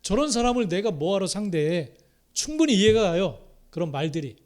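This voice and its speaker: Middle-aged male Korean pastor, slightly high voice, sermon delivery with repeated phrases and sharp loud-to-soft shifts. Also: sing-song, a little fast. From Korea, Chungcheong province. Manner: swings from loud rising exhortation to soft muttered asides; repeats key phrases, calls out hallelujah.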